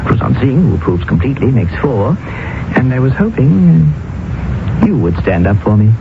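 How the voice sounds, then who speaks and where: An actor playing an earnest, stubborn young British doctor in love, in a radio drama set in colonial India. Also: male voice, medium pitch, very animated, moderate pace, vocal fry, noisy background. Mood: earnest, hopeful. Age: young adult. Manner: earnest, defiant, declamatory. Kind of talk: 1980 radio drama acting. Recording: muffled, narrow-band old radio broadcast.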